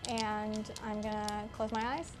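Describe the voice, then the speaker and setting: A woman's voice: mid-range voice, little pitch movement, unhurried. A woman playing a tabletop role-playing game, talking casually and bluntly, slipping in and out of character. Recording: music behind the voice. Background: music.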